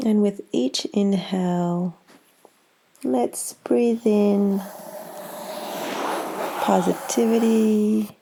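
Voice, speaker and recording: Very slow, bright-voiced woman guiding meditation. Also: mid-range voice, gravelly, slight background noise, low-quality recording. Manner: calm, soothing, unhurried with long pauses. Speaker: yoga nidra guide. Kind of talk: guided meditation.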